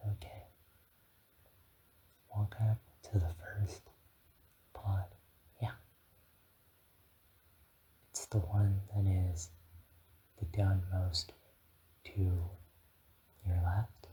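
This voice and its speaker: A man playing a gentle, encouraging dragon guide in a whispered ASMR guided meditation, speaking haltingly and soothingly. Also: sits low, flat delivery, slight background noise, rough recording.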